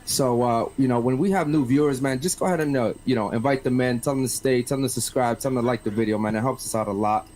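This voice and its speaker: A man's voice: mid-range voice, quick pace, hardly any pauses, a little mellow, low-quality recording. A man hosts a casual live-streamed podcast. His voice sits in the middle of the male range, and his delivery is loose, slangy and conversational. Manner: slangy, peppers talk with "man" and "bro". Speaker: podcast host. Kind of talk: casual live-stream talk show chat.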